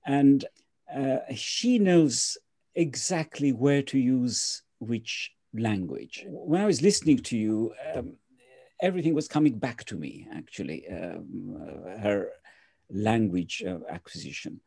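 An interviewer asking questions in a hesitant, careful way, with many pauses and fillers. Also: medium pitch, expressive, vocal fry, mellow, slightly rough. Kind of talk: conversational interview.

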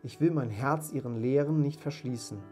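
A man acts out an old reformed miser in a storybook tale. The voice jumps high above plain narration and swells with emotion. The lines are exclamatory and emphatic, with music behind. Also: moderate pace, mellow, lightly acted. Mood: pleading. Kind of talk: dramatised storybook reading.

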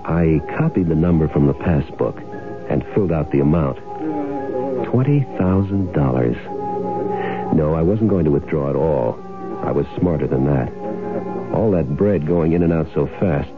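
A man's voice: rumbling low, expressive, moderate pace. An actor playing a sly, self-satisfied swindler who tells his own crime story in wry, casual slang, in the style of 1970s radio drama. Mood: smug, wry. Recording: tinny, band-limited old radio broadcast, music behind.

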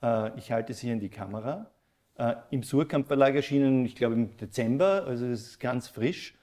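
A man hosting a live literary talk, speaking in a halting, searching way. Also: medium pitch, mellow. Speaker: interview host. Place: living room of a former residence, indoors.

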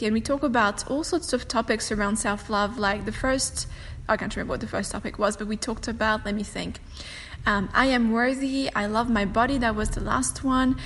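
A woman whose first language is French, hosting a casual solo podcast and talking quickly, with frequent laughter. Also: a little high, fairly bright tone. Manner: fast-talking, chatty, laughs often. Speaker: podcast host and meditation teacher. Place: home room with a fireplace on a windy day. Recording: long room reverb, faint wind whoosh. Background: faint wind noise from the fireplace.

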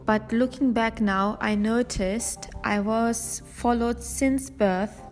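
Slow-speaking woman, echoey reverb. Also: fairly high pitch, fairly even intonation, faint music behind. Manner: careful, earnest, sometimes restarting phrases. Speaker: podcast host. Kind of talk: reading a personal account aloud from handwritten notes.

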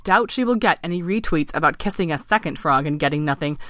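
A woman's voice: sits low, expressive, fast talker, a bit bright, no echo, clean studio sound. A woman voicing a cheeky male frog in a story, chirpy, wry and teasing as he eggs his friends on.

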